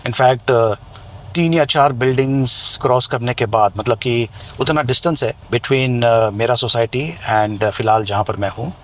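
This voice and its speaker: A man's voice: mid-range voice, unhurried. Indian man reviewing gadgets, noisy outdoor ambience. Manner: explanatory, conversational. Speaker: tech reviewer and vlogger. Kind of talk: hands-on product review, mixing Hindi and English. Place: outdoors near residential buildings.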